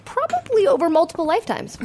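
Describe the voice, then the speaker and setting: A woman's voice: extremely high pitch, wildly swooping pitch, fast, noisy background, heavily acted. A woman playing an earnest, organized young college student who takes charge, in an audio drama. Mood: wry exasperation. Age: young adult.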